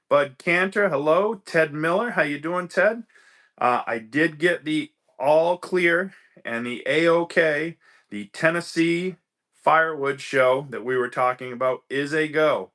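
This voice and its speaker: A man's voice: mid-range voice, bright, forceful tone. A man hosting a casual livestream, greeting viewers by name, a little stuffy from a cold. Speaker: livestream host.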